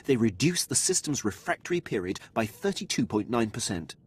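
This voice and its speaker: An actor playing a dutiful, precise Imperial officer in a video game, speaking formally and deferentially. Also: male voice, mid-range voice, sing-song, a little fast, slightly rough. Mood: composed, pleased.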